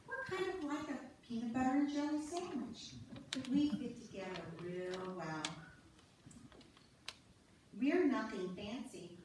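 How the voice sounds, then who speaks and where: A woman playing a contented, plainspoken wife and mother in a readers theater monologue, recorded in a church. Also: fairly high pitch. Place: church sanctuary, before an audience. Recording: echoey church hall, distant, faint noise.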